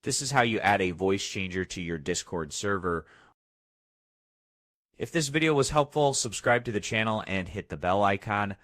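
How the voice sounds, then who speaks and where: A man narrating a scripted step-by-step software tutorial as its host. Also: fairly low pitch, animated, moderate pace, no echo, clean studio sound.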